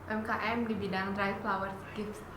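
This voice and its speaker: A nineteen-year-old woman answering interview questions in a relaxed, chatty way. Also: mid-range voice, moderate pace. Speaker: interviewee, student. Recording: echoey room, noisy background.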